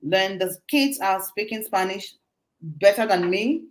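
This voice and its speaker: Middle-aged woman, interview guest. Animated, laughs often, loose conversational delivery. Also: medium pitch, measured pace. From Nigeria. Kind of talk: casual interview talk.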